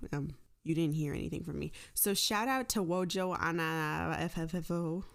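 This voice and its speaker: A woman hosting her podcast in a casual, rambling, joking and self-deprecating way. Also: deep voice. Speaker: podcast host and creator.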